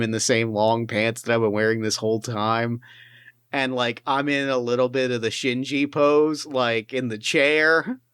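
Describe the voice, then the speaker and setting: Guy gm rambling thru a tabletop game, smooth clear voice, dry close mic, lots of uh and like. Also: mid-range voice, fairly bright tone, no echo. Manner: rambling, many fillers and restarts. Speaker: game master. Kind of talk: casual tabletop play and narration.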